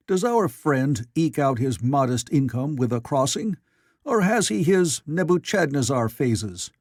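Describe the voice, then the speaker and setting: A man's voice: medium pitch, lively intonation, unhurried, darkish tone, no room sound, studio quality. A man voicing a jovial, mocking newspaper editor in an audiobook, fervent and teasing. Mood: fervent curiosity. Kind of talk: audiobook character voice.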